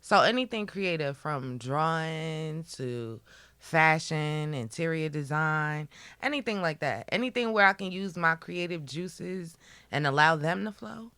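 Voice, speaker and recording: A woman from the New York area, a podcast guest with a raspy voice, chatting in an animated, playful way. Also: sits low, unhurried, no echo. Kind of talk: casual chat.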